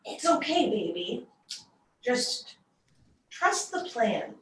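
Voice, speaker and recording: Evasive, loving mother, played by a woman, unhurried delivery, roomy echo, drama table read. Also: on the high side, very animated, fairly bright tone, exaggerated voice. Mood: distracted, reassuring. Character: distracted, evasive but affectionate mother of two. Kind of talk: live script table read.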